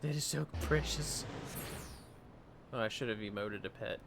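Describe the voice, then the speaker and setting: A man's voice: lowish voice, normal speed, creaky, noisy background. A man livestreaming a video game with casual chat, muttering to himself as he plays. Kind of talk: casual gameplay chat mixed with reading dialogue aloud.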